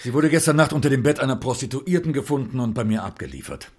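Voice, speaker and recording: An actor playing a stern police inspector who questions slowly and formally, with a husky voice. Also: male voice, medium pitch, lively intonation, a little mellow. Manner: slow, deliberate, formal questioning. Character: stern male police inspector, measured and probing. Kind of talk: audio drama acting.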